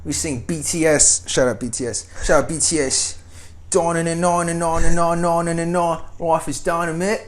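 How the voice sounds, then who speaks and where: A young man co-hosting a casual podcast, animated, swearing casually and laughing often. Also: a little high, normal speed. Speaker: podcast host. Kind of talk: casual chat.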